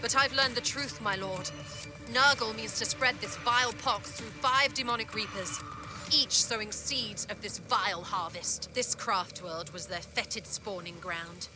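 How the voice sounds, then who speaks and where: A woman's voice: medium pitch, measured pace, lightly acted. A woman playing a zealous, eager inquisitor in a grim sci-fi video game, formal and intense in her delivery. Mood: urgent. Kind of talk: dramatic video game voice acting. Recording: music under the voice.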